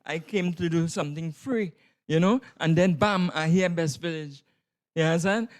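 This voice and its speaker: A Trinidadian man gives spontaneous interview answers in a high, clear voice. He speaks emphatically, in short bursts. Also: normal speed. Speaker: school drama tutor, interviewee.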